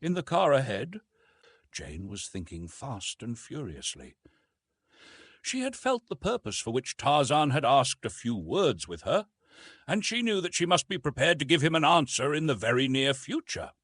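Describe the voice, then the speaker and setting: A man with a slightly husky voice narrating a classic adventure novel in an expressive, carefully phrased, dramatic storytelling style. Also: mid-range voice, normal speed, no echo, clean studio sound. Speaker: audiobook narrator.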